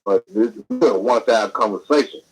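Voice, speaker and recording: A man's voice: fairly low pitch, quick pace. A man with a Southern accent talking over a bad phone line, rambling and defensive in slangy speech. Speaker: caller. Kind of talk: phone call on air.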